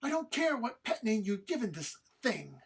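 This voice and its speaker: A woman performs a disdainful, scolding companion in an audio comedy. The husky voice is arch and formal, full of dry sarcasm and exasperation. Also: a little high, sing-song, moderate pace, heavily acted. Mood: exasperated. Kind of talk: informal script read-through of audio comedy.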